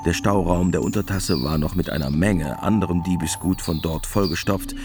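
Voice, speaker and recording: A male narrator reads a scripted radio drama in a deep voice. Music plays clearly behind his storytelling. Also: moderate pace.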